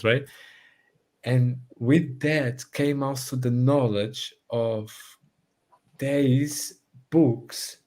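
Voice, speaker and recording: An earnest man from Brazil who grew up in Portugal, speaking English with a Portuguese accent. His voice sits at an ordinary middle pitch, and he often restarts phrases while he searches for the right words in a relaxed guest conversation. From Brazil, raised in Portugal. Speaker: podcast guest. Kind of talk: casual conversation.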